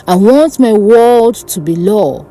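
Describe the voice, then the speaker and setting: A woman voicing an insecure, power-hungry male village chief in a dark folk tale, blustering and demanding. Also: mid-range voice, expressive, slow pace, poor audio. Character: insecure short village chief, man craving absolute power.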